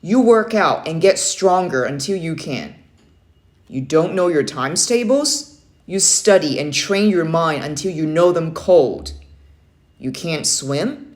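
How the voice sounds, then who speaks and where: A woman voicing a stern, motivating young Navy uncle in a children's story read-aloud, firm and emphatic. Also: fairly low pitch. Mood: rousing, emphatic.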